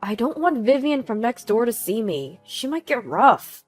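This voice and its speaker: A woman voicing a quiet, timid thirteen-year-old boy with a meek, plaintive manner in a narrated story. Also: on the high side, very animated, normal speed, faint music behind. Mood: uneasy.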